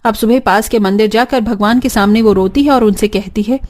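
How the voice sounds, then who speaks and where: A woman's voice: medium pitch, a little fast, darkish tone. A woman narrating a scripted cartoon story as its storyteller.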